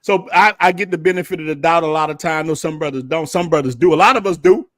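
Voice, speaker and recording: A man hosts a loose, casual conversation, his voice sitting a touch higher than most men's. He is laid-back and tosses questions to his guests between fillers. The sound is dry, with no room around it. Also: fast, a bit bright, no room sound. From United States. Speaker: show host. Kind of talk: casual livestream chat.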